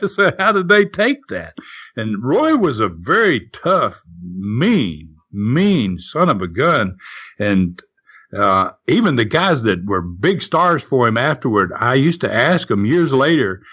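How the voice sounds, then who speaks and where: A man's voice: medium pitch, slow pace, husky. Man telling stories in casual back-and-forth dialogue. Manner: folksy, animated retelling, acting out both sides of conversations. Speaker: interview guest recalling wrestling history. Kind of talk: casual storytelling with re-enacted dialogue.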